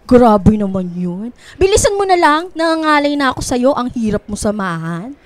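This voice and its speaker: A woman's voice: fairly high pitch, very animated, normal speed, no room sound. A woman with a Filipino accent playing a playful, self-conscious young best friend in a radio drama, girlish and whiny as she teases. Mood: grumbling.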